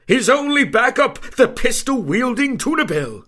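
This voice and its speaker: Theatrical high-voiced serial announcer played by a man. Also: big pitch swings, sharp tone, no room sound, studio quality, exaggerated voice. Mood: suspenseful. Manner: theatrical, dramatic, drawn-out emphasis. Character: grandiose old-time Western serial announcer. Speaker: narrator. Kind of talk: old-time radio serial narration. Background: music.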